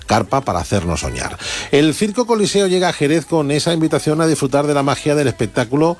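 A man's voice: a little high, big pitch swings, quick pace. A male radio host with a polished, formal delivery. He reads a scripted introduction and then moves into interview questions.

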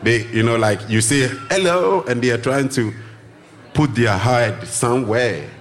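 A man's voice: animated, unhurried, slight background noise. A man from Ghana who teaches drumming, answering radio interview questions in loose, searching phrases full of restarts. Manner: loose, searching phrasing with restarts, acts out greetings in high voices. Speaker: drumming teacher and tour guide.

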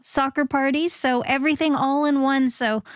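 A woman's voice: high voice, steady tone, normal speed, dry recording. Chatty woman radio host, dry studio. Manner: warm and chatty, laughs easily. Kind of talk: live radio show banter. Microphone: studio microphone.

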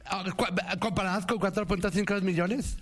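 High-pitched, insistent male radio co-host. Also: fairly even intonation, moderate pace, faint music behind. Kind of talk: opinionated political debate.

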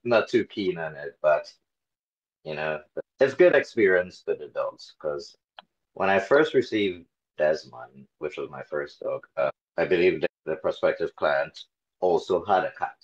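A man in his forties from Trinidad and Tobago, talking casually as an interview guest, relaxed and a bit hesitant with many fillers. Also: low-pitched, a little slow, highs cut off. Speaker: interview guest, dog carer volunteer.

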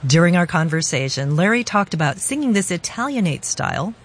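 Woman radio host w a low voice, reading links and asking interview questions. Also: very animated, a little fast. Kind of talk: scripted narration and interview questions.

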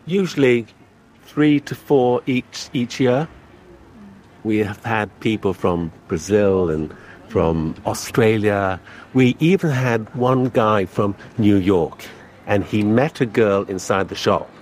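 Faint ambient noise sits behind a man with a slightly low voice. He talks conversationally as a shop owner being interviewed. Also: lively intonation, takes it slowly, a little mellow. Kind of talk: spontaneous interview anecdotes.

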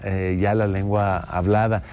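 A man's voice: sits low, flat delivery, unhurried, darkish tone. A man hosting a casual radio chat, friendly and loose, with many fillers and restarts. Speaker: host.

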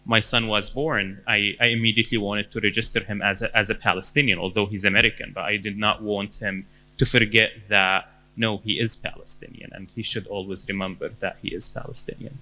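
A man in his thirties with a Syrian accent, answering interview questions in a halting way, with many fillers and restarts. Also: on the low side, little pitch movement, sharp tone, low-quality recording. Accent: Syrian Arabic. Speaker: interview guest.

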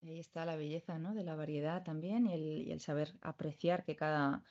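A woman interviewer speaks in a reflective, searching way and often restarts her phrases. Her voice sits a little low and sounds mellow. The audio is somewhat dull and lo-fi. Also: fairly even intonation, a little fast. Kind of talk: conversational interview.

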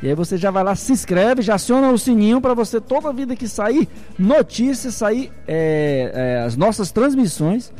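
A man's voice: high voice, lively intonation, moderate pace, warm tone, faint music behind. A man hosting a radio sports show, chatty and jokey, repeating himself as he talks to listeners. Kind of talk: radio chat promoting the show's channel.

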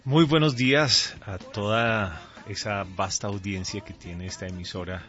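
Deep-voiced middle-aged Colombian man. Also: unhurried, a bit bright, soft background music. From Colombia, raised there from age two. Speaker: pastor, interview guest. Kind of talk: radio interview, conversational testimony. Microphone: studio microphone. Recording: dull, band-limited radio audio.

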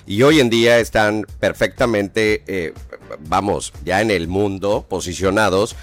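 Travel host guy, low voice, upbeat, light music under. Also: measured pace. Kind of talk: radio interview.

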